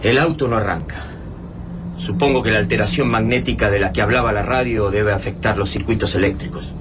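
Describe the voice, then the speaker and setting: A man's voice: fairly low pitch, a little fast, natural voice. An actor with an Argentine accent playing a practical, wary man bracing for survival in a radio drama. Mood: urgent, practical. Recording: clearly noisy radio play track.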